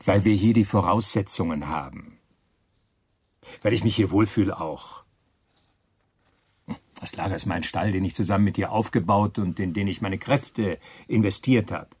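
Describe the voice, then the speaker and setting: A pushy wartime schemer in a radio drama. His voice is fairly low and raspy, with a close, dry sound. He is chummy and persuasive, with menace underneath. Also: moderate pace, long pauses, a little mellow, no echo. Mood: chummy, insistent. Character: smooth-talking, pushy male wartime schemer, chummy and veiledly threatening. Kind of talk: German radio play acting.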